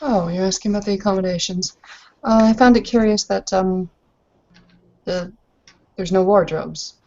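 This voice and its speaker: A woman's voice: medium pitch, normal speed, dark tone, natural voice. A woman playing a naive, curious young bride in a tabletop roleplaying game, polite, hesitant and inquisitive. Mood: puzzled. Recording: dull mic with faint noise.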